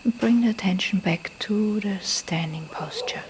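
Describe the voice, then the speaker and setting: A woman who is a non-native English speaker leads a guided meditation as a teacher, speaking softly and breathily, often near a whisper, in gentle, deliberate short phrases with pauses. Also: mid-range voice. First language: not a native English speaker. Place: temple in Thailand. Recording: faint background noise, live field recording.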